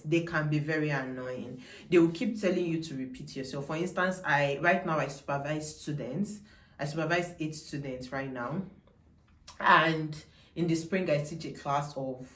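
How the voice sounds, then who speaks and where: A woman's voice: deep voice, roomy sound. A young woman with a Nigerian accent, talking casually and emphatically to camera, wandering into digressions and repeating her points. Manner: chatty, digressive, emphatic repetition. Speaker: vlogger.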